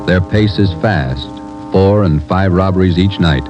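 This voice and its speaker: A male announcer delivering a grave, deliberate dramatic introduction for an old radio show. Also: sits low. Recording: old radio broadcast with loud music behind.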